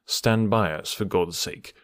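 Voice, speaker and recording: A man's voice: low-pitched, unhurried, fairly bright tone, clear, resonant tone, no room sound. A man voicing a cool, precise male detective with a dry, ironic manner in an audiobook. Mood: cool, measured. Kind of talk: audiobook character voice.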